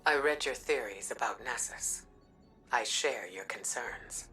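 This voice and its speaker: A woman voicing a solemn, steady commander in a video game, calm and deliberate, with a breathy, husky voice. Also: extremely low pitch, soft background music, heavily acted. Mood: hushed, concerned. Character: solemn, steady female commander offering reassurance.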